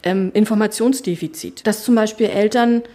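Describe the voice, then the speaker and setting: Middle-aged woman, politician, interview talk, halting, thinking aloud, average pitch. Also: dark tone. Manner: thoughtful, halting, many fillers and restarts. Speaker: politician, spokesperson for family and education. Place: her constituency office.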